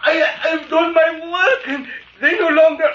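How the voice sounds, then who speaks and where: An actor playing a dying cult servant in agony, halting and gasping, in 1940s radio style. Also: male voice, very high-pitched, fairly even intonation, a bit bright, a little hiss or hum. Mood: agonized. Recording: dull 1940s radio recording.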